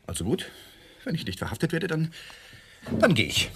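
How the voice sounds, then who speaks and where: An actor playing an indignant, defiant young lawyer in a radio drama, speaking with insistence. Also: male voice, on the high side, huge pitch swings, moderate pace, very noisy. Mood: tense, resigned. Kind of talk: 1980s German radio drama acting.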